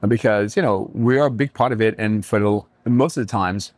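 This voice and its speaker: Low-voiced, halting man from Jamaica. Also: darkish tone, no room sound. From Kingston, Jamaica. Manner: halting, pausing often mid-thought. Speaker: restaurateur and community leader, podcast guest. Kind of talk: reflective interview conversation.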